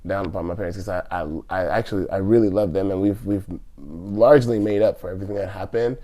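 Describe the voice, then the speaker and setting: A man's voice: sits low, steady tone, quick pace, mellow. A man in his thirties from California, a podcast guest talking casually and hesitantly, with many restarts and trailing phrases. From California, USA. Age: 30s. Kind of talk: casual interview conversation.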